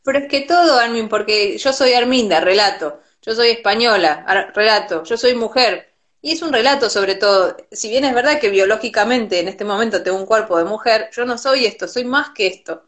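A woman's voice: a little high, moderate pace, a bit bright. A woman from Argentina with an Argentine accent, a guest explaining ideas in a reflective, conversational way and often restarting her words. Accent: Argentine Spanish. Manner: thoughtful, repeats words when restarting. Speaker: Ayurveda teacher, guest. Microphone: video call.

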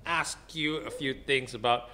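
A man's voice: mid-range voice, measured pace, bright, forceful tone, slight background noise. A man from Malaysia hosting a casual, conversational interview. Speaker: interviewer, host.

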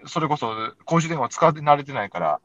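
Animated, fast-talking man with Kansai accent. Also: fairly high pitch, lo-fi sound. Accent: Kansai Japanese. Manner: polite but chatty, animated storyteller. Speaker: radio talk show co-host. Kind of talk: casual anecdote-telling chat.

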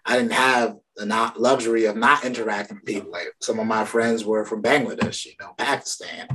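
A man in his thirties from Brooklyn hosting an interview, speaking in a relaxed, easygoing way and often adding "you know" and "right?". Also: fairly low pitch, a little fast, a crowd in the background, low-quality recording. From Brooklyn, New York. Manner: laid-back, frequent "you know" and "right?". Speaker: podcast host. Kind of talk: casual interview chat.

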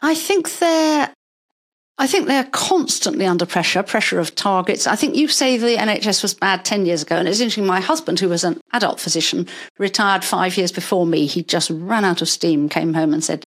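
An older woman, a retired paediatrician, talking through her working life in a measured, explanatory way, with stories along the way. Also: mid-range voice. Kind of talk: conversational interview.

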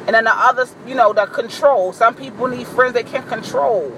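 A woman with a deep voice giving a blunt, profane, boisterous vlog monologue, recorded in a car. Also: moderate pace, fairly bright tone, a little hiss or hum, poor audio. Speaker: vlogger. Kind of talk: candid monologue to camera.

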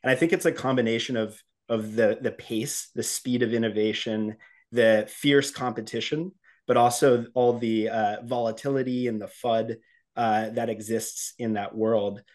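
A man's voice: medium pitch, steady tone, moderate pace, a little mellow. A man interviewing a guest, reflecting on his own work experience before asking his questions.

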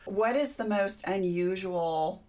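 A woman's voice: medium pitch, slow pace, a little mellow. A woman hosting a casual chat, encouraging her guest with short responses. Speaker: host.

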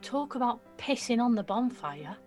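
A young woman acting an excitable, mouthy and insecure nineteen-year-old girl in an audio drama, with slangy, blurting speech. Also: fairly high pitch, moderate pace, soft background music. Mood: anxious.

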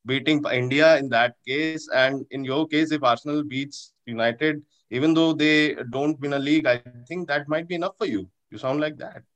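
A man co-hosting a sports podcast, teasing a guest with quick jabs. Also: on the high side, fairly bright tone. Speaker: podcast host.

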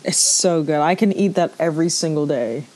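A woman's voice: deep voice, fairly quick, darkish tone, poor audio. A woman from Trinidad and Tobago with a Trinidadian accent, a podcast guest chatting casually with slangy, sassy asides. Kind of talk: casual chat.

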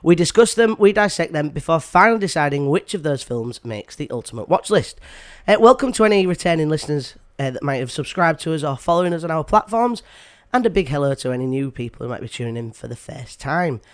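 A man's voice: fairly high pitch, sing-song, fast, hardly any pauses, smooth. A man hosting a podcast in casual chat, stuffy from a cold, with frequent ums and restarts. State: has a cold. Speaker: podcast host.

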